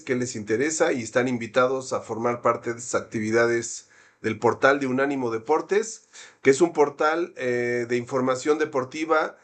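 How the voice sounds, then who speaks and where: A man hosting an academic seminar, introducing a guest in a friendly, measured way. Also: medium pitch, fairly bright tone. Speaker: seminar host.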